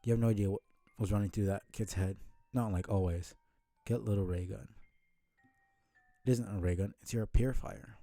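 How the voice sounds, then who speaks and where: Mellow, gravelly man reading aloud. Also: lowish voice, steady tone, normal speed, no echo, soft background music. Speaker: streamer reading a webcomic aloud. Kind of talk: casual live read-aloud of a comic with asides.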